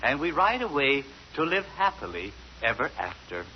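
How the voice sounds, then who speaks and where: A man's voice: medium pitch, very animated, moderate pace, heavy background noise. Old radio guy telling a fairy tale all earnest, bouncy voice, muffled broadcast sound. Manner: earnest storytelling, playing straight man. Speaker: comedy host. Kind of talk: 1940s radio comedy. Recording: dull lo-fi 1940s radio broadcast.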